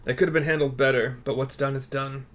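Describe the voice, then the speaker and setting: A man voicing an earnest, pragmatic resistance ally in a game, deliberate and persuasive. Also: medium pitch, fairly even intonation, fairly bright tone, close to an everyday voice. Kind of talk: reading game dialogue aloud.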